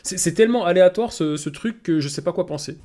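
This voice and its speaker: A man's voice: sits high, fast. A young man streaming, rambling and exclaiming as he reacts to a cartoon. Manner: excitable, rambling, exclaims often. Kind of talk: casual reaction commentary. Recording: cartoon soundtrack music behind.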